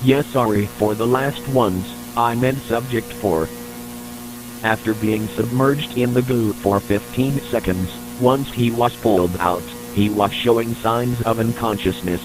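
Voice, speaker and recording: A man narrates a scripted report for an analog horror tape, keeping a formal and matter-of-fact manner. His pitch is average for a man, and a clear electrical hum and noise runs through the recording. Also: unhurried.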